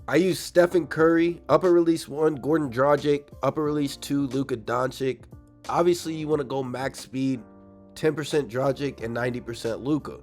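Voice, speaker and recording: A young man with a slightly raspy voice from a cold, casually breaking down a video game build for his viewers. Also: medium pitch, fairly even intonation, darkish tone. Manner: fast, casual, addresses viewers directly. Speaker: gaming YouTuber. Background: faint background music.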